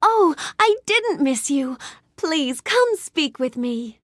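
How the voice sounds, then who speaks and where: A woman's voice: high-pitched, very animated, slow pace, a bit bright, no echo, clean studio sound, full character voice. A woman voicing a gracious, bookish young storybook heroine in a video game, speaking politely and expressively with careful enunciation. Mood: gentle coaxing. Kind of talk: scripted video game character lines.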